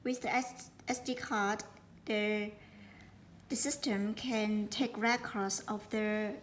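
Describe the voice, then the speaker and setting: A woman giving an unscripted product demonstration, speaking haltingly and often repeating words as she explains. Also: mid-range voice, fairly even intonation, slight background noise. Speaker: product presenter.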